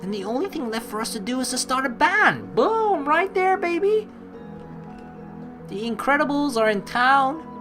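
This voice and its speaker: A woman hosting a let's play, informal and animated, mixing game commentary with reading dialogue aloud. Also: high voice, moderate pace, music in the background.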